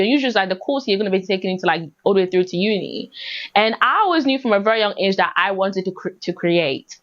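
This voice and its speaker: Young british woman chatting on her podcast, casual storytelling, kinda muffled lo-fi audio. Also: medium pitch. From born in Ghana, raised in the UK from age six. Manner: conversational, repeats and emphasises phrases, frequent "like" and "you know". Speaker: podcast host.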